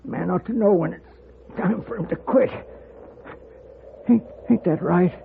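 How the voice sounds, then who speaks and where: An actor playing a stubborn, weary old Western gunfighter in a 1950s radio drama, with a folksy, crotchety frontier drawl. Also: male voice, sits high, a little slow, phone-quality sound. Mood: weary, fading. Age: older. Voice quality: creaky, gravelly old voice. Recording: noisy, band-limited 1950s radio recording.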